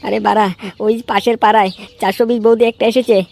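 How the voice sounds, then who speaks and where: A woman voicing a gossipy, foul-mouthed young man in a comedy cartoon, tattling in a crude, excitable way. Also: mid-range voice, quick pace, creaky, heavy background noise, natural voice. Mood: annoyed, insistent. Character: gossipy, foul-mouthed bald young male club friend.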